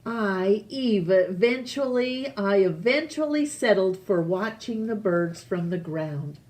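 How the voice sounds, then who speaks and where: A woman reads a children's picture book aloud at a very slow pace. She is deliberate and leaves pauses between phrases, with a mid-range pitch. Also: animated, a little mellow. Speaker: storytime reader.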